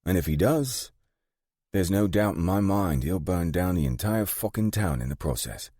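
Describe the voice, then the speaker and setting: Man voicing a tough British gang grandmother, pitched up. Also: lively intonation, moderate pace, no room sound, clean studio sound. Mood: grave, weary. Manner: blunt, sharp, no-nonsense.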